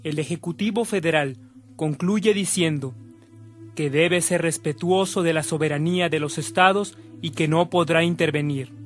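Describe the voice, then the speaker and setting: A man acting as the serious narrator of a historical religious radio drama, telling it in a solemn, measured way. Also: a little high, soft background music, natural voice. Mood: grave.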